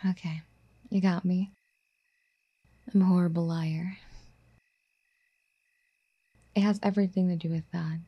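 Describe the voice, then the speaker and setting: A woman playing a doting, clingy, obsessive girlfriend in an ASMR roleplay, speaking in a soft, breathy, coaxing half-whisper. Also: on the low side, level tone, normal speed, lo-fi sound. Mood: sheepish, apologetic. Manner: cooing, coaxing pet-name sweet talk.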